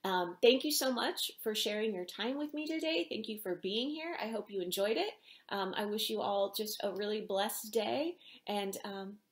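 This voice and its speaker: A middle-aged woman, an author reading aloud in an expressive storytelling style in a small indoor room. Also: mid-range voice, moderate pace. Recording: roomy home echo.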